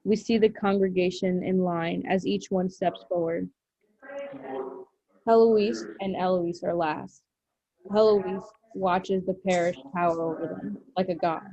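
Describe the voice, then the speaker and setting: A woman's voice: fairly low pitch, steady tone, a little slow, mellow. A woman reading screenplay stage directions aloud as narrator at a table read. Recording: dull lo-fi recording.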